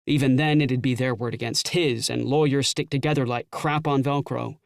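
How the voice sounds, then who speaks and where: Gravelly, eager young detective, played by a man. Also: mid-range voice, steady tone, normal speed, no room sound, clean studio sound, lightly acted. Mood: keen, frustrated. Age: young adult. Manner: slangy, casual, eager. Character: youthful, keen male police detective, informal and chummy. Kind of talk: full-cast audiobook drama acting.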